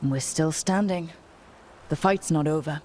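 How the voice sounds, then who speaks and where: An actress voicing a steady, resolute heroine who leads a team in a fantasy game, firm and rallying. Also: female voice, low voice, a little slow, a little hiss or hum. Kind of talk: fantasy video game voice acting.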